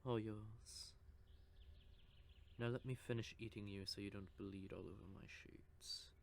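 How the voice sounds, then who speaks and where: A man voicing a cocky, possessive male vampire who teases with soft whispered asides, in an audio roleplay. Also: fairly low pitch, steady tone, unhurried, gravelly, a little hiss or hum. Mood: teasing. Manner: teasing, smug, with soft whispered stretches.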